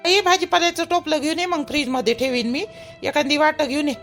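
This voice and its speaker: A woman's voice: sits high, fairly even intonation, a little fast, a bit bright, faint music behind. A woman playing a practical, nagging village mother of a grown son in a family cartoon, with a Vidarbha Marathi accent. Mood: chivvying. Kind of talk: family drama cartoon dialogue.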